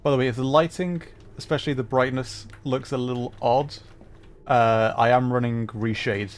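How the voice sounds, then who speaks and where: A man's voice: mid-range voice, fairly even intonation, moderate pace. A man hosting a gameplay livestream with casual, chatty, self-deprecating commentary. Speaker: livestream host.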